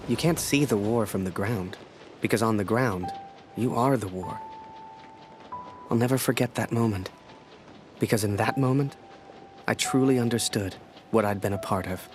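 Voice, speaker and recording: An actor playing a weary, disillusioned young war photographer, narrating a game story in a rueful, introspective way with music behind. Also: male voice, fairly low pitch, moderate pace, pauses often, close to an everyday voice. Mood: weary, troubled. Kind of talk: reflective first-person game narration.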